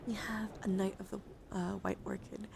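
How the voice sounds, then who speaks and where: A young woman livestreaming casual ASMR card chat, with a breathy voice that often slips into whispers and nerdy, coaxing, rambling asides. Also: mid-range voice, little pitch movement, normal speed. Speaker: livestreamer showing her card deck. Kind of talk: casual ASMR hand-cam chat with viewers. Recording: noticeable background noise.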